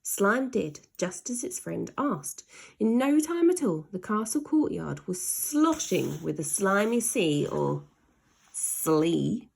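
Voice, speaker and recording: A woman's voice: mid-range voice, sing-song, slow pace, gravelly, slightly rough. A woman narrating a children's story aloud in a dramatic way, leaning hard on sound words. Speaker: storybook reader.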